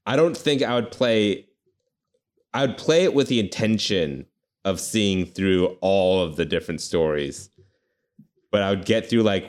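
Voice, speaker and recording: A man co-hosting a casual podcast, laid-back and a bit hesitant as he talks. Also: low-pitched. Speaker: podcast co-host.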